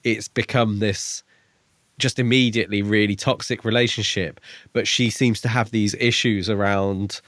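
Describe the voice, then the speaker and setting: A man co-hosting a podcast in casual book chat, phrasing his thoughts hesitantly with pauses. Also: on the low side, steady tone, fairly bright tone, no room sound. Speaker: podcast co-host.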